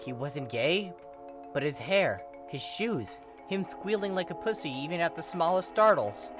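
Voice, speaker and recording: Higher-pitched man narrating fan fiction. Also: animated, measured pace, over music. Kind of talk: expressive scripted narration.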